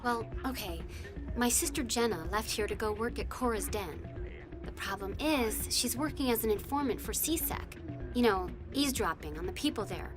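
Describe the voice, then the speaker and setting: A worried young woman in a sci-fi game, played by an actress, protective and apologetic. Her voice sits a little high and she confides hesitantly, with clear music underneath. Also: animated, normal speed, natural voice. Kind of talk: video game voice acting.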